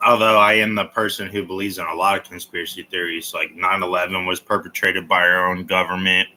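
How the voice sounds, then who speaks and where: Low, sharp-voiced man rambling on a livestream. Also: flat delivery, a little fast, poor audio. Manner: rambling, reads and answers viewer comments; opinionated, blunt. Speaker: livestream host. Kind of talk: casual livestream chat.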